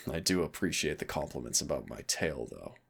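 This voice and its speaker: A man playing a male demon roommate in an intimate audio roleplay, gravelly and smug, teasing and menacing yet tender as he speaks straight to the listener. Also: very animated, exaggerated voice. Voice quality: gravelly, low. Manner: slow, smug, teasing, addresses listener directly.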